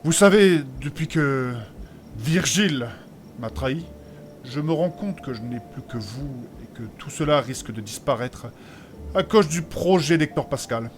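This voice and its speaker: A man plays a prim, earnest mage from a fantasy audio drama. His voice sits fairly high and his phrasing is courteous, pompous and old-fashioned. A faint noise lies under the recording. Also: lively intonation, unhurried. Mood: sincere. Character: formal, principled religious male mage, earnest and prim. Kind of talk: audio fantasy comedy acting.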